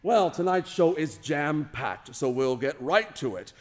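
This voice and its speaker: A man's voice: a little high, animated, heavy background noise. A man hosting a live variety radio show in a theatrical, jokey, announcer-like manner. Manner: showy, jokey, drawn-out announcing. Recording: long hall reverb.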